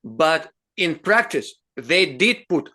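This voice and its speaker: A man with a Russian accent, a native Russian speaker, hosting an explanatory talk in English, earnest and halting with frequent fillers and restarts. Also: a little high, very animated, pushed and bright. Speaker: host.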